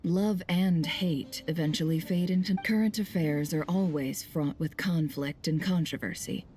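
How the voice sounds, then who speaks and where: A woman playing a composed, enigmatic wise figure in a video game, with a measured and formal delivery. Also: low-pitched, warm tone, a little hiss or hum. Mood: grave, measured.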